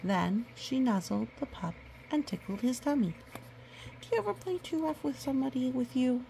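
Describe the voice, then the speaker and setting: A woman reading a picture book aloud to children, gentle and nurturing, with chatty asides. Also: high-pitched, lively intonation, normal speed, vocal fry, slight background noise, poor audio.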